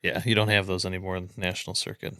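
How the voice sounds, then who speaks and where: A man's voice: deep voice, level tone, very fast, pushed and bright, clean tone, dry recording, studio quality. A man co-hosting a sports podcast, bantering casually in conversation. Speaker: podcast co-host.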